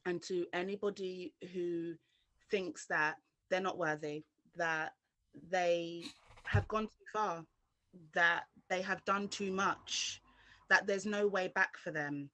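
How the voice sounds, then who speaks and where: A woman's voice: fairly low pitch, slow, a bit bright, dry recording, rough recording. A woman from London giving her personal testimony as an interview guest, speaking earnestly and directly to the viewer.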